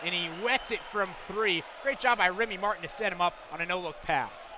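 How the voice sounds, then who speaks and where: A man's voice: high-pitched, brisk, crowd noise, noisy background. An American man giving conversational, opinionated color commentary on a live basketball broadcast. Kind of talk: live sports analysis.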